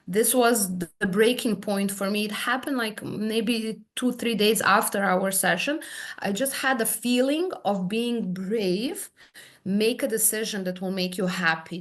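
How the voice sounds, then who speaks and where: A woman, a therapy client describing her experience in halting, searching speech full of restarts. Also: medium pitch. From Serbia. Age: 30s. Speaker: hypnotherapy client guest. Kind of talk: personal testimony in conversation.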